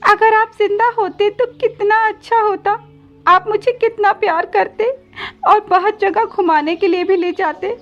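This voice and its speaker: A woman's voice: very high-pitched, voice pushed up. A woman voicing a timid, tearful little girl bird, meek and pleading, in a cartoon moral story. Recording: slightly dull audio with background music.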